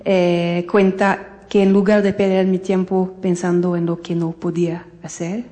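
Slow, hesitant woman in a reverberant hall. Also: lowish voice, level tone, warm tone. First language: English. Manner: hesitant, halting in a second language. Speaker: musician addressing a concert audience. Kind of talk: prepared remarks, partly read. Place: concert hall stage.

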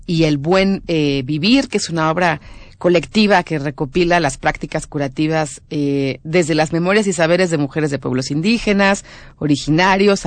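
A woman's voice: low-pitched, dry recording. A woman with a Mexican accent hosting a radio show in a conversational interview style.

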